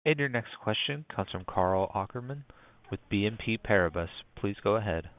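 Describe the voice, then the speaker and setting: A man's voice: fairly low pitch, expressive, moderate pace, no echo. A man speaking as a conference call operator, making scripted announcements. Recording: dry, slightly muffled call audio.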